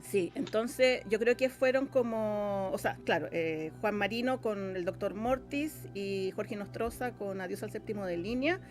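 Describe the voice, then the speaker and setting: A woman's voice: mid-range voice, unhurried, few pauses, faint music behind. A woman with a Chilean accent explaining things casually as an interview guest, often searching for words. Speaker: interview guest, radio drama scriptwriter.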